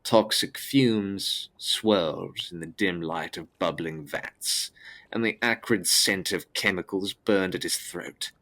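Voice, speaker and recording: A man's voice: low-pitched, slow pace, pushed and bright. A man narrating a gothic audio drama as scripted, with grave, dramatic phrasing.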